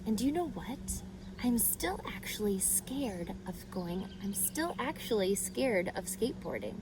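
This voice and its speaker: Slow, expressive woman reading to children. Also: mid-range voice, background noise. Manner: expressive, deliberate, addresses child listeners directly. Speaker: read-aloud storyteller for children. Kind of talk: children's picture book read-aloud with questions to listeners.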